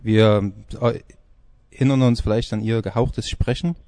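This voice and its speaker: A man presenting informally on a music radio show, halting and searching for words as he goes. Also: lowish voice, steady tone, dark tone, dry recording.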